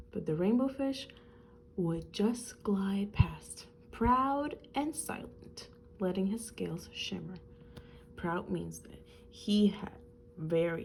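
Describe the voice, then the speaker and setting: A woman reading a picture book aloud to children in an expressive, teacherly way, explaining things simply. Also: on the low side, takes it slowly, vocal fry, a little hiss or hum, rough recording.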